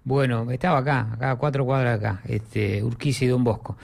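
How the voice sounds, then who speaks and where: A man's voice: mid-range voice, fairly even intonation, fairly quick, creaky, a little mellow. A middle-aged Argentine man hosting a sports radio show in a rambling, conversational way.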